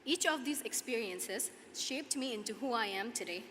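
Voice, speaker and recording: Woman, 20s, Nepali accent, student commencement speaker; forceful bright tone, earnest measured phrasing, big reverberant hall. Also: fairly high pitch, lively intonation. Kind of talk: prepared commencement speech. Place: large hall at a podium, audience ambience. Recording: echoey hall PA, clear background noise.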